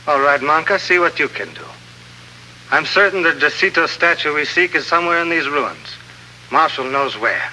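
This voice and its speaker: An actor playing a suave, menacing ringleader in a 1950s radio drama, speaking formally and deliberately. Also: male voice, fairly high pitch, pushed and bright, low-quality recording. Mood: commanding. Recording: old radio recording, faint hum and noise.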